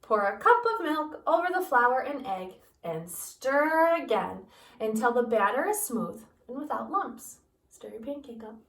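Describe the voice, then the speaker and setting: A woman's voice: fairly high pitch, lively intonation, a little slow, a bit bright. A woman voicing a patient instructing mother. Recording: roomy, from a distance.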